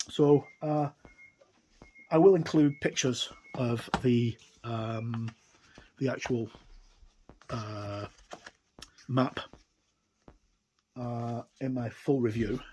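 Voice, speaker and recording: A man giving a casual, unscripted product walkthrough as a video reviewer, talking in a halting, conversational way. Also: mid-range voice, darkish tone, slight background noise, poor audio. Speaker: game reviewer and video presenter.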